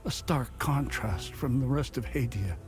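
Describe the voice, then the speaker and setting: A man's voice: mid-range voice, unhurried, lightly acted. An actor playing a devout, fatherly older abbot in a video game, speaking in formal, pious and grave phrases. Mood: calm, gentle. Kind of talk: dramatic game dialogue acting. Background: music.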